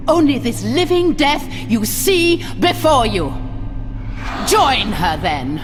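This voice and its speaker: A woman playing a seductive, imperious vampire queen in a video game, cruel, mocking and theatrical, slipping into breathy whispers. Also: high voice, very animated, takes it slowly, heavily acted. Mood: menacing. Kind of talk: dramatic video game cutscene acting. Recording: loud scary music behind the voice. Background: loud ominous music.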